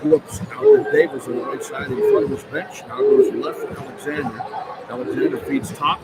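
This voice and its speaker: A male radio announcer calls live basketball from a noisy arena. His voice sits fairly high and moves around a lot as he rattles off clipped descriptions of the action and punches out the scores. A very loud crowd and heavy noise surround him throughout. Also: huge pitch swings, moderate pace, gravelly. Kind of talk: live basketball play-by-play commentary. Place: courtside in a large indoor basketball arena. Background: roaring crowd, arena noise.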